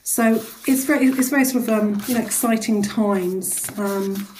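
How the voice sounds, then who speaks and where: A woman's voice: medium pitch, normal speed, darkish tone, slight background noise, low-quality recording. Chatty woman explaining things in an interview. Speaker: interview guest, charity deputy chief executive. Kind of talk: conversational radio interview.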